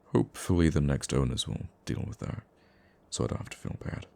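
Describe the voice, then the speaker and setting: A man playing a gruff, teasing supervillain with a secret soft side in an intimate ASMR roleplay, dry and grumbling but affectionate. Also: animated, brisk, exaggerated voice. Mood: dry, reassuring. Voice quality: very deep, gravelly.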